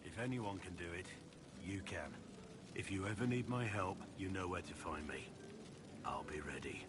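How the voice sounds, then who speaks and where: Man playing a deep-voiced, loyal warrior. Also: normal speed, heavy background noise, close to an everyday voice. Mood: sincere. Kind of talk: video game character dialogue. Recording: loud background ambience.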